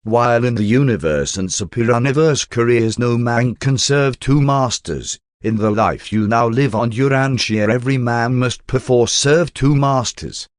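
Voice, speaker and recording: A man reading a spiritual text as an audiobook narrator in a formal, measured and solemn manner. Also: medium pitch, no echo, clean studio sound.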